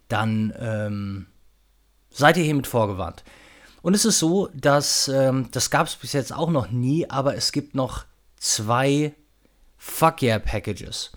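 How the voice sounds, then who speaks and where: A male podcast host with a mid-pitched voice speaks off the cuff. He is informal and chatty, feeling his way through a casual announcement with a hesitant, rambling delivery.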